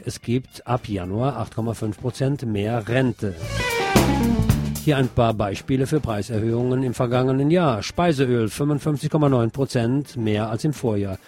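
A man reading scripted radio news as the presenter. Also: mid-range voice.

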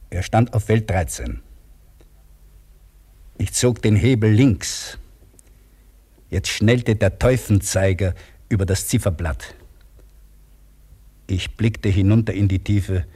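Low, husky older crane man. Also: dark tone, full character voice. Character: older Austrian male cable crane operator, proud and dutiful. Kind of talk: 1950s radio drama acting and narration.

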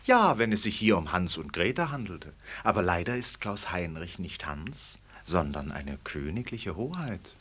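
Witty radio play narrator, wry and theatrical, voice goes up and down loads. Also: male voice, low voice, big pitch swings, measured pace, hardly any pauses, fairly bright tone, slightly rough, heavily acted. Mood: wry, musing. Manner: theatrical, wry, rhetorical questions. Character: urbane, ironic male storyteller who banters with the characters.

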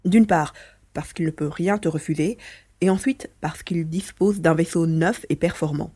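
A woman's voice: fairly low pitch, normal speed, warm tone, breathy edge. A woman voicing a mischievous male mouse-beaver alien, a chatty, teasing adventurer in a French audio drama.

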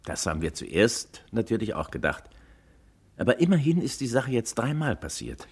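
Perplexed gentleman, low-pitched, expressive intonation, careful emphatic explaining, confiding, 1950s radio drama. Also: moderate pace, breathy edge, heavily acted. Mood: puzzled, explaining. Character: puzzled, well-off husband confiding in an old friend. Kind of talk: 1950s German radio crime drama acting.

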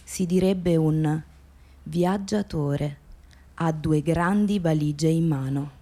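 An actress playing a watchful narrator in a radio drama, speaking in measured, halting phrases. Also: female voice, deep voice, warm tone, heavily acted. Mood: observant. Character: slow, watchful female narrator observing a stranger.

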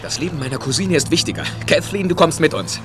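An actor playing a protective, forceful man leading a rescue in an audio drama. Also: a little high, expressive, quick pace, over music, close to an everyday voice. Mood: angry, urgent. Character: protective, forceful male cousin driving a rescue.

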